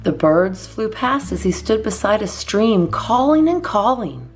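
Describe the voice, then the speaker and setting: A woman reading a children's storybook aloud as a storytime host, expressively and in measured phrases with deliberate pauses. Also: medium pitch, big pitch swings, faint music behind.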